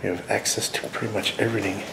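Man in his forties, lowish voice, casual exploration vlog host, informal, noisy handheld camera audio. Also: little pitch movement, quick pace, creaky. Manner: informal, addressing viewers directly. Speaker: urban exploration vlogger, host. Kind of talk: casual narration while exploring. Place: inside an abandoned church, empty rooms and halls. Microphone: handheld camera at arm's length.

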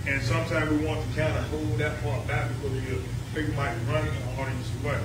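A middle-aged man with a Southern American accent preaching a call-and-response sermon, building from steady talk up to cries of praise. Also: on the high side, fairly quick, very noisy. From United States. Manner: preaching, rising to shouts of praise, repeats phrases. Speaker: pastor. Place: small church sanctuary, indoors. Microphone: handheld mic, filmed from the pews. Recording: roomy church sound from a distance with music behind.

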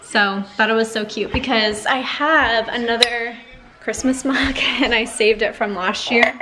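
A woman's voice: on the high side, steady tone, unhurried, poor audio. Chatty young woman vlogging to camera. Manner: chatty, upbeat, many "like" fillers. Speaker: lifestyle vlogger. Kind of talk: casual vlog chat to camera. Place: home kitchen, then parked car.

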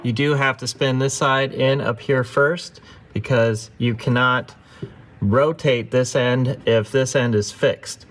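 A man's voice: medium pitch, steady tone, slow, a little hiss or hum. A man narrating a car repair step by step, practical and instructive, as the host of his own workshop videos.

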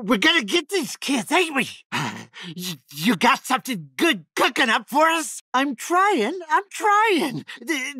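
A sadistic young backwoods killer for a video game. His voice is very high for a man and husky, swinging up and down in pitch. He talks with a Texan drawl, giddy and twitchy, tripping over his words in a stammer. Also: dry recording, exaggerated voice. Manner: stammering, excitable, folksy. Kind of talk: video game character voice lines.

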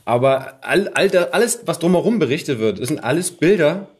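A man's voice: a little high, animated, brisk, breathy edge. A man in his thirties chatting casually as the host of an interview podcast.

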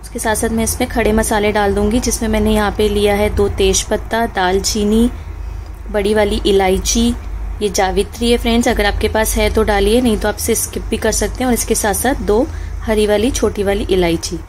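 A woman's voice: mid-range voice, steady tone, brisk, darkish tone, slight background noise, low-quality recording. A woman hosting a home cooking channel, talking listeners through a recipe step by step in a friendly, instructive way. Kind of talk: home cooking tutorial voice-over. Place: home kitchen stove.

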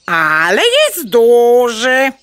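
A woman's voice: a little high, lively intonation, drawn-out words, fairly bright tone, smooth, exaggerated voice. A woman playing a curious, plucky, naive hen in a children's audio play, exclaiming and stammering into clucks. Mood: puzzled.

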